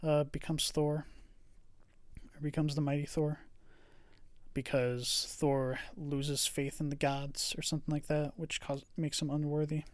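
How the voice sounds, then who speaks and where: A relaxed podcast co-host talking through a film in an easygoing back-and-forth, often hedging and trailing off. The voice sits at an ordinary middle pitch. It is recorded close and dry, with no room sound. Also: a little slow, dry recording. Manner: hedging, trails off with "I don't know". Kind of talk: casual film review chat.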